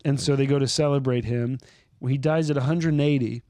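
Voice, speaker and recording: A man's voice: mid-range voice, steady tone, brisk, warm tone, no echo. A man co-hosting a podcast in casual chat, teasing his partner with jokes and asking questions. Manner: teasing, joking, asks questions. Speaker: podcast co-host. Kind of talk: casual chat about Bible stories.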